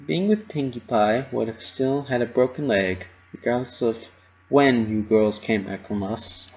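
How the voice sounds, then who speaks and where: Composed young female pony, male reader: mid pitch, formal and measured, slightly muffled fan-drama recording. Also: a little mellow, close to an everyday voice.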